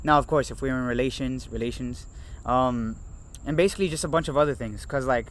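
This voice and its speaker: A man's voice: mid-range voice, fairly quick. A man in his early twenties from Miami, co-hosting a podcast in casual chat, hesitant and full of fillers and repeats. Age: early 20s. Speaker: podcast co-host.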